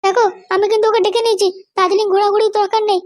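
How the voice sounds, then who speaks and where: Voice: very high voice, little pitch movement, rapid-fire. A performer voicing a bossy, suspicious, scheming Bengali wife and mother in a comedy cartoon, with a childlike voice and a nagging, commanding manner. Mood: impatient, scheming. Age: middle-aged. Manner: nagging, commanding, keeps demanding to be understood. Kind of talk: animated comedy cartoon dialogue.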